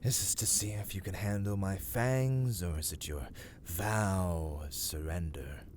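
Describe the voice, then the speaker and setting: A man playing a brooding, self-loathing vampire in a dramatic ASMR roleplay, speaking in a formal, archaic, hushed and breathy manner. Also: low voice, unhurried, slight background noise. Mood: grave, wary. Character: brooding, self-loathing male vampire, menacing yet conflicted.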